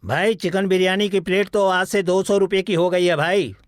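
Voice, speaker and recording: A man's voice: high voice, fairly even intonation, normal speed, no room sound, clean studio sound, natural voice. A man voicing a greedy, scheming village biryani seller in a cartoon moral story, with an exaggerated folksy delivery. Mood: defensive. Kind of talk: Hindi animated moral story dialogue.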